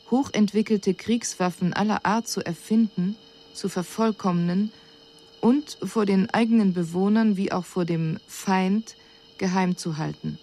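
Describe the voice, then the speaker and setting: An actress playing an alien observer who explains things in a measured, formal, didactic report for a radio drama. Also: female voice, mid-range voice, dark tone, lightly acted. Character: female alien observer coolly dissecting a self-destructive species.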